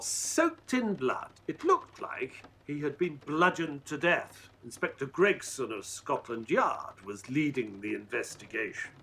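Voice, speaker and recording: A man playing an earnest Victorian gentleman who narrates a detective audio drama. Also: on the high side, husky, heavily acted.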